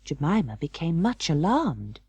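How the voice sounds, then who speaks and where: A woman narrating a children's story in an expressive, measured and dramatic storytelling manner. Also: sits low, slightly rough, no echo. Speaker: audiobook narrator.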